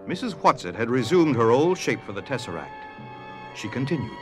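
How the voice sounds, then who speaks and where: A man's voice: medium pitch, very animated, brisk, a bit bright. A man playing the suspenseful narrator of an audio drama, reading scripted storybook narration. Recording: faint music under narration.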